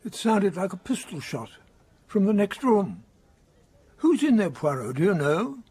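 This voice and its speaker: An actor playing an incredulous, questioning gentleman in a radio drama, with an excitable manner. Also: sits high, a little slow, breathy edge, no room sound, full character voice. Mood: alarmed. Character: astonished, questioning gentleman listening to a detective's solution.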